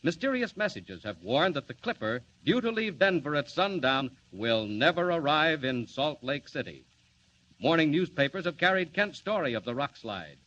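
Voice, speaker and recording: Man, 1940s radio serial announcer, dramatic, bright ringing tone, dull lo-fi recording. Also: on the high side, big pitch swings, normal speed, a little hiss or hum. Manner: dramatic, emphatic delivery.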